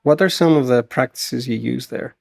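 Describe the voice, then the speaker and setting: A man hosting a podcast, asking relaxed, curious interview questions. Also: mid-range voice, normal speed, creaky. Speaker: podcast host.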